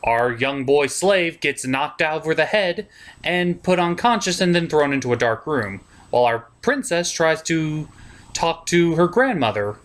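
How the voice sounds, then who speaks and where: A film reviewer performs a comedic puppet show review with a very lively, swinging pitch. The delivery is crude and mock-exasperated, tipping into rants. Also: unhurried.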